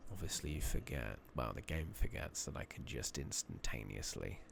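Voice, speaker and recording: A man giving a soft-spoken, partly whispered ASMR game playthrough, musing to himself and reading game text aloud over a noisy makeshift microphone. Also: extremely low pitch, expressive, moderate pace, gravelly. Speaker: ASMR gaming streamer. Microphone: close makeshift replacement microphone.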